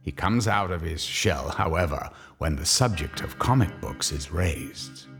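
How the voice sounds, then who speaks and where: A man playing the theatrical, deliberate, ominous narrator of a scripted radio horror story. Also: low voice, expressive, vocal fry, over music, exaggerated voice. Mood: wry storytelling.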